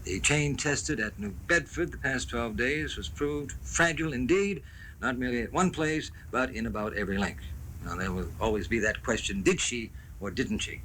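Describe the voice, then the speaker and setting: A man's voice: mid-range voice, moderate pace, pushed and bright, natural voice. A man playing an anxious, eager young writer and fiancé in a 1970s radio drama, with an earnest, hesitant, stammering manner. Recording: noisy 1970s live radio tape.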